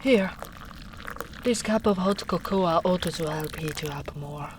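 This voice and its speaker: Woman playing a slow, soft-spoken gentle ogress. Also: on the low side, natural voice. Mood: caring, musing. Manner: soothing, unhurried, gently teasing. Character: gentle big strong female ogre, caring, teasing rescuer. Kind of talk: soft-spoken ASMR roleplay. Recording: noisy storm ambience behind voice.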